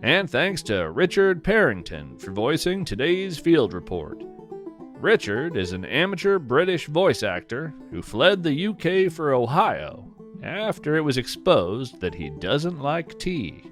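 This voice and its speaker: A man narrating a scripted podcast as its host, in a measured, reflective way. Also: on the high side, sing-song, a bit bright. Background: plucked guitar music.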